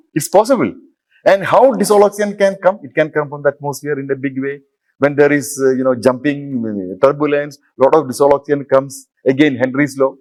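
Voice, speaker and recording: A man's voice: on the high side, darkish tone, poor audio. A middle-aged man from Kerala, speaking English with a Malayalam accent, giving a university lecture and often asking the audience questions. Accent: Malayalam-influenced Indian English. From Kerala, India. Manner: interactive, asks audience questions, explains step by step. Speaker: professor, lecturer. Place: lecture hall.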